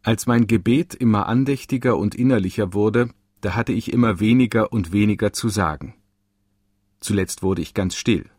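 A man solemnly reading quoted texts in a slow, earnest way. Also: fairly low pitch, a little mellow, slightly rough, no room sound, clean studio sound. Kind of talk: solemn scripted recitation.

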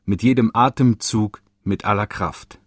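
A man solemnly reading quoted texts in a slow, earnest way. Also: lowish voice, fairly even intonation, husky, dry recording, studio quality. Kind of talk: solemn scripted recitation.

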